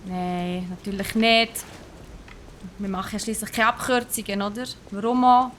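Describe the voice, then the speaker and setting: A woman's voice: mid-range voice, steady tone, normal speed, fairly bright tone, slight background noise. A young Swiss woman with a Swiss German accent, live-streaming a game with chatty, self-mocking commentary and English exclamations thrown in. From Switzerland.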